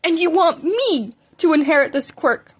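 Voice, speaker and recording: An earnest, self-doubting teenage boy, played by a woman reading fan fiction. His voice is high and easily flustered. He stumbles through his sentences but can still answer back with sarcasm. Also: animated, normal speed. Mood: unsure, rambling. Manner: hesitant rambling with sarcastic retorts. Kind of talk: fan fiction audiobook character voice.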